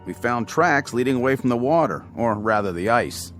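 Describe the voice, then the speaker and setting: An actor playing a calm, firm state trooper questioning people in an audio drama. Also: male voice, mid-range voice, moderate pace, soft background music, lightly acted. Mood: calm authority.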